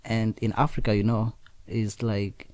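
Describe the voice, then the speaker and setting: A young man from Kenya, raised speaking Nandi, tells his story in an unhurried interview with plenty of fillers and restarts. His voice is mellow and sits a bit low. The sound is dry and close. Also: level tone, dry recording. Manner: halting, frequent fillers and restarts. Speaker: interview guest. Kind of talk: casual conversational interview.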